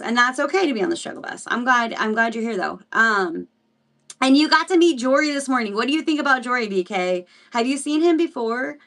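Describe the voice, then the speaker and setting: A woman's voice: medium pitch, fairly bright tone, recorded from a distance. A woman hosting a casual livestream Bible study, chatty and rambling, greeting viewers by name as she teaches. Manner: chatty and rambling, with frequent fillers and restarts.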